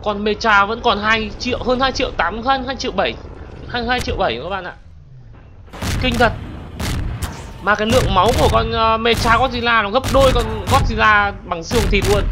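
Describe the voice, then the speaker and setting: A young man commentating a monster battle video game for his channel, excitable and constantly talking to his viewers. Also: high voice. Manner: excitable, exclaims often, addresses viewers constantly. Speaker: gaming channel host. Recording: game battle noise behind voice. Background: explosions and gunfire from game.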